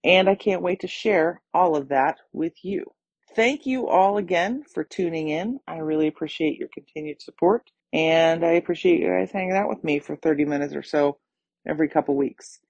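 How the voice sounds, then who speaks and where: Tired woman hosting her podcast, voice all hoarse and scratchy, chatty and upbeat, dry close sound. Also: lowish voice, expressive, normal speed, a little mellow, dry recording, highs cut off. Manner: chatty, upbeat, with small laughs. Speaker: podcast host. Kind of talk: casual solo podcast talk.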